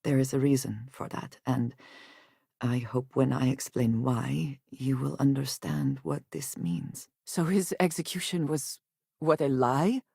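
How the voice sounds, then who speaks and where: An actress playing a guarded, earnest woman space adventurer in a video game, speaking in measured, formal phrases with few contractions and a dry wit. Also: a bass voice, animated, gravelly, mellow, breathy edge, dry recording, heavily acted. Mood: earnest, troubled. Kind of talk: video game character acting.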